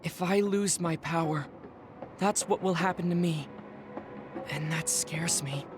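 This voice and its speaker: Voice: mid-range voice, close to an everyday voice. A performer in an English game dub voicing a psychic decades old in a child's body, speaking formally and precisely, proud but insecure, over music. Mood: troubled, somber. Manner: formal, precise, measured speech. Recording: music behind the voice.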